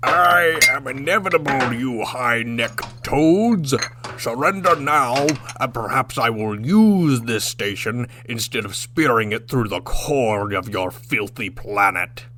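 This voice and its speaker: Blustering alien warrior captain, slow menacing delivery, bright forceful voice, pompous, sci-fi radio drama, humming noisy recording. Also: male voice, medium pitch, lively intonation. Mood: menacing, outraged.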